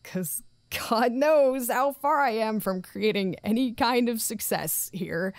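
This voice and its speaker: A woman's voice: a little high, takes it slowly, dry recording. An actress playing an anxious, dutiful site director in an audio drama, formal but faltering and apologetic as she reports. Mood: nervous, confessing. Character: anxious, dutiful female acting site director, self-doubting.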